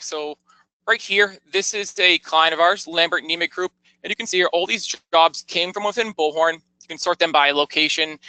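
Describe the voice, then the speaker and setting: A man narrating a product tour as a software demonstrator, explaining things step by step in a conversational, informal way. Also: fairly high pitch, no echo, rough recording.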